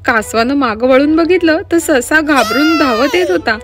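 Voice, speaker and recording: A woman narrating scripted moral stories for children in an expressive way, speaking directly to them. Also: sits high, moderate pace. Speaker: children's storyteller. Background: background music.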